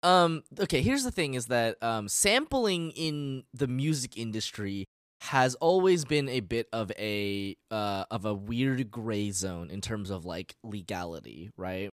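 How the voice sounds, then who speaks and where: A man's voice: mid-range voice, expressive, measured pace, no room sound, clean studio sound. A man co-hosting a podcast in casual chat, explaining things in a rambling, hesitant way. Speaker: podcast co-host.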